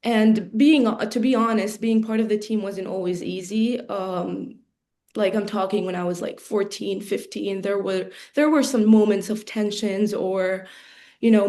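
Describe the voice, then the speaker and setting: A woman's voice: mid-range voice, fairly even intonation, moderate pace, warm tone. A woman in her twenties, a college athlete, giving an earnest personal talk with frequent ums and restarts. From Middle East. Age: 20s. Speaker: program alumna and college athlete. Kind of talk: prepared personal speech on a video call.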